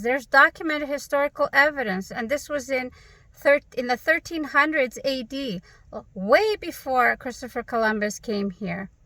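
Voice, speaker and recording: A woman's voice: a little high, fairly bright tone, dry recording, rough recording. A woman vlogger answering viewer questions to camera in an earnest, emphatic way, often posing rhetorical questions. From Canada. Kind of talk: direct-to-camera Q&A monologue.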